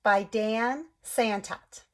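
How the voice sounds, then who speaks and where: Friendly teacher voice, fairly high, reading a picture book to kids; soft and unhurried. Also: female voice. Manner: gentle, expressive, slows down at key moments. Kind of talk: children's read-aloud storytelling.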